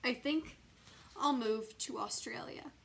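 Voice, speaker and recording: A woman voicing a sulky young boy from a storybook, complaining in a whiny, indignant, drawn-out way. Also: a little high, vocal fry, slight background noise. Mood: sulky, dejected. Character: grumpy, sulky young boy complaining about his bad day.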